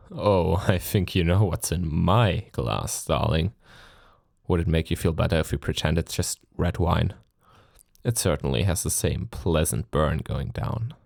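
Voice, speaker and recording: Man acting as a deep-voiced, seductive vampire lord. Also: creaky, a little mellow, no room sound, studio quality. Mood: courtly, insistent. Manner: silky, theatrical, condescending politeness with lingering phrases. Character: suave, sadistic male vampire lord, mocking and seductive. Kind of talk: intimate ASMR roleplay acting.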